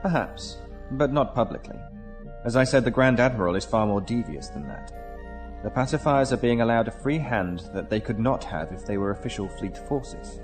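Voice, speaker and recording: An actor playing a formal, courteous, shrewd commanding official who speaks deliberately in a sci-fi audio drama. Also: male voice, mid-range voice, animated, over music, natural voice. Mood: grave, explanatory.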